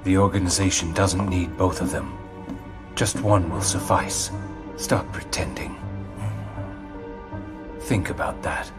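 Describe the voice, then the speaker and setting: Contemptuous organization officer, low and unhurried, stern video game drama with score. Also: male voice, loud music behind the voice. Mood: disdainful. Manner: cold, deliberate, condescending. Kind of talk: video game cutscene acting.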